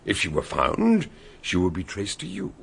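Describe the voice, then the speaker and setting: An actor playing a shrewd male detective who calmly lays out how the crime was solved in a radio drama. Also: lowish voice, gravelly, a little hiss or hum, heavily acted. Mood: explaining. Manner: explaining, measured.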